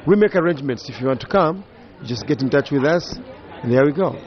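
A man's voice: medium pitch, lively intonation, fairly quick, dull audio. A man with a Ugandan accent, a safari tour operator talking in a radio interview, hesitant and searching for words with many fillers.

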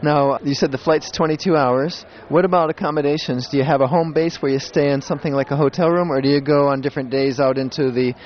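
A man's voice: a little high, steady tone, fast talker, few pauses, dull audio, slight background noise. A man hosting a radio talk show, upbeat, asking short follow-up questions.

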